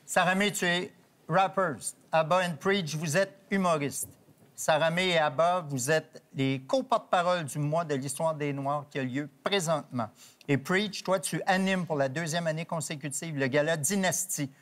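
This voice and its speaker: A man hosting a television talk show, introducing guests in a measured, formal way. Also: fairly high pitch, a bit bright.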